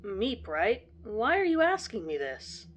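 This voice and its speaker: A woman's voice: a little high, wildly swooping pitch, unhurried, slight background noise. A woman voicing a confused, slightly annoyed young boyfriend who speaks hesitantly. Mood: puzzled.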